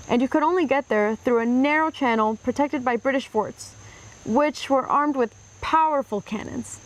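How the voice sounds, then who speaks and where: A woman narrating a children's history book aloud with clear, expressive storytelling. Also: sits high, rough recording.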